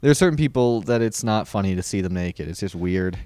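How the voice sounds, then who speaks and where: A man's voice: deep voice, expressive, a little fast, a little mellow, dry recording. A man hosting a podcast in a casual chat, asking playful, teasing questions in an easygoing way. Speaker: podcast host.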